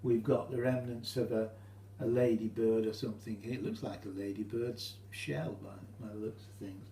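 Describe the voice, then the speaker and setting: A British man giving an informal, halting how-to demonstration, explaining each step as he goes. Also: on the low side, steady tone, dark tone. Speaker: hobbyist demonstrator. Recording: roomy echo, faint hum.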